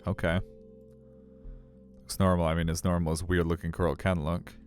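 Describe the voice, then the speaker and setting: A man's voice: low-pitched, level tone, normal speed, soft background music. A man, casually commenting on and narrating a game in an informal, rambling way. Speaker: streamer reading a visual novel.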